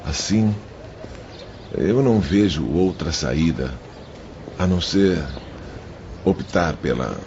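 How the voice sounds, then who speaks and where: Casting: male actor as guilt-ridden spirit prisoner, very low, unhurried, grave confessional delivery for audiobook drama. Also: expressive, a little mellow, heavily acted. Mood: remorseful, resigned. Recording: dull audio with faint background noise.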